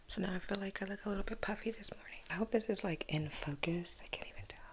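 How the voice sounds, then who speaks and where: A woman in her thirties, sleepy and just up, chatting casually in a soft whisper while doing her makeup at home. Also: mid-range voice, quick pace. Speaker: vlogger. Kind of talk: soft-spoken whispered get-ready-with-me vlog.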